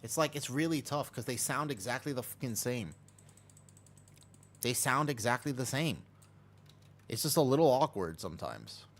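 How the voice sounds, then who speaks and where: A man's voice: mid-range voice, sing-song, moderate pace, long pauses. An American man streaming a game, chatting casually and rambling as he answers chat and laughs easily. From United States.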